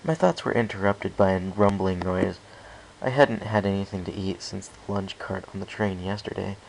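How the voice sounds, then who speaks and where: A man's voice: deep voice, normal speed, creaky, poor audio. A man narrating a first-person story as an amateur audiobook reader, reading carefully with some stumbles. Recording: faint background hiss.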